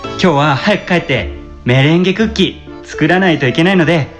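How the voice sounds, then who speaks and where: A performer as an animated, timid young male customer. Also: medium pitch, a little fast, soft background music, close to an everyday voice. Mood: grateful. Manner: polite, hesitant, stammering. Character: timid, earnest, grateful young male fortune-telling customer. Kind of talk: amateur radio drama acting.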